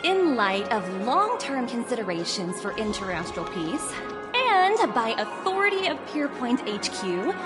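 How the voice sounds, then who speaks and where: A high, lively corporate envoy. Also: female voice. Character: upbeat young female corporate envoy. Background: game music.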